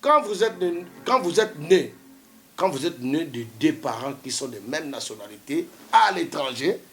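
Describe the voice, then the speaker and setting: Male TV guest, high and very animated, insistent and repetitive. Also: moderate pace, faint music behind. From Democratic Republic of the Congo. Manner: insistent, repeats himself to hold the floor. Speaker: studio guest. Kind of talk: heated debate on a TV talk show.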